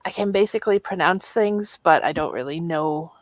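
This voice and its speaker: A woman's voice: lowish voice, normal speed, no room sound. A woman whose first language is Latvian, a guest reading out questions in a friendly, casual chat. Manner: friendly, reads out questions, laughs easily.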